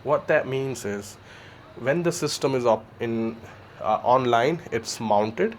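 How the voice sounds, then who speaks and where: A man presents a product in an informal, explanatory way, often tagging his points with a questioning "right?". His voice sits at an average pitch. It is recorded on location at a building entrance, with faint noise behind him. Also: expressive, slow. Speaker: security installer presenting a product. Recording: clear background noise on location.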